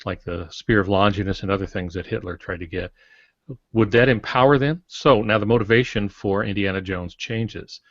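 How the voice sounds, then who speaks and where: An older man explaining things in a halting, digressive way as a co-host in a panel discussion. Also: lowish voice. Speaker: podcast co-host, writing instructor. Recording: muffled, roomy lo-fi podcast audio.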